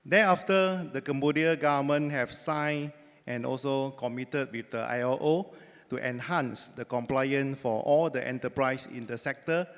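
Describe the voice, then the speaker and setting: A man's voice: mid-range voice, normal speed, clear, resonant tone. A man interpreting for a panel speaker, fluent but loosely phrased, with fillers. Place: large conference hall. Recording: reverberant conference hall.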